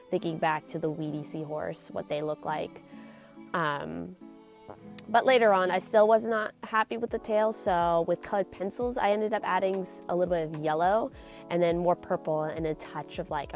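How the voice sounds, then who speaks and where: Young woman, stuffy nasal voice, chatty art voice-over, soft music under her. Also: on the low side. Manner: chatty, many fillers and restarts. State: nasal from a cold. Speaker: artist narrating her painting video. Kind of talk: casual voice-over narration.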